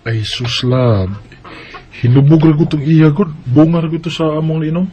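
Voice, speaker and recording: The sound is slightly muffled, like a homemade radio drama. An actor plays an earnest Bisaya man with a somewhat high male voice, explaining himself in hesitant, everyday phrases. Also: moderate pace, mellow, soft background music, close to an everyday voice. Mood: coaxing, earnest. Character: earnest Bisaya man saving for land and marriage. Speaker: radio drama lead and letter narrator. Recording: dull lo-fi radio drama recording.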